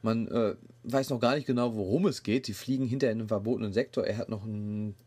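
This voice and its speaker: Low-voiced man chatting casually. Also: fast talker, mellow, breathy edge. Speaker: podcast host reviewing an app. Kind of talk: casual chat, informal review.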